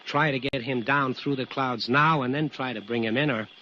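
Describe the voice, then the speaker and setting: An actor playing an earnest, commanding air traffic controller under pressure in an old-time radio drama, speaking firmly and deliberately. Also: male voice, medium pitch, bright, forceful tone, close to an everyday voice. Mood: urgent. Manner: deliberate, firm, instructing. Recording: noisy, muffled old radio recording.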